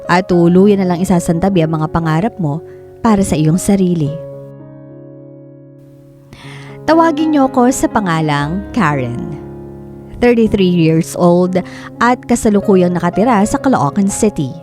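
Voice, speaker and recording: A woman's voice: fairly low pitch, lively intonation, moderate pace, close to an everyday voice. An actress playing a dutiful eldest daughter in her thirties, telling her story in earnest, plaintive radio drama narration. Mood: somber. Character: Karen, 33-year-old dutiful eldest daughter recounting family hardship. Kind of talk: scripted dramatic first-person radio narration. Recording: soft background music under the voice.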